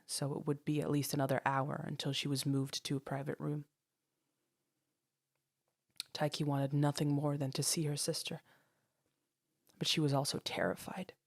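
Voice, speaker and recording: A woman's voice: low voice, a little mellow, dry recording, studio quality. A woman reading scripted dramatic fiction as a storyteller. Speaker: storyteller, narrator.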